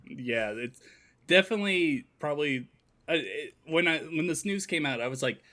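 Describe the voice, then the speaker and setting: A man's voice: on the high side, animated, normal speed, sharp tone. A man co-hosting a podcast, chatting informally and explaining things as he goes. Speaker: podcast co-host. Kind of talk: casual chat.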